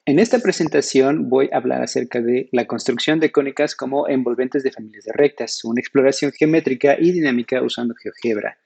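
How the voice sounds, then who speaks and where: Gravelly Mexican man presenting slides. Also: mid-range voice, darkish tone. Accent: Mexican Spanish. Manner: measured, explanatory, teacherly. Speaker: conference presenter.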